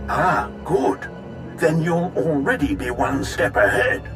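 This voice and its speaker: A man playing a deferential, flattering imperial fleet officer in a sci-fi game, courtly, formal and deliberate. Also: fairly high pitch. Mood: tactful. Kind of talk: scripted video game dialogue. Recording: music behind the voice.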